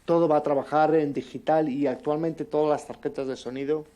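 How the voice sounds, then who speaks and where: A man from Spain with a Spanish accent, speaking at a conference. His voice is soft-edged and mellow, a bit higher than average, and he talks conversationally with halting stretches. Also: fairly even intonation. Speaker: conference speaker, amateur audio drama producer. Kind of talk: informal public talk.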